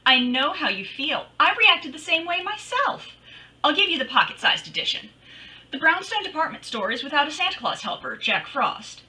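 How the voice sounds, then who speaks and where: A woman's voice: sits high, very animated, moderate pace, bright, forceful tone, exaggerated voice. A woman playing a wisecracking, breezy female private detective in old-time radio style, with wry, slangy delivery. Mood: dry, wisecracking. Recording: distant microphone.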